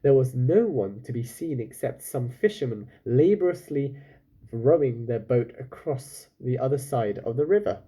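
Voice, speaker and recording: A man's voice: mid-range voice, lively intonation, normal speed, warm tone, slightly rough. A man reading a children's book aloud expressively, stopping now and then for casual, chatty asides to his listeners. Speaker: storyteller, reader.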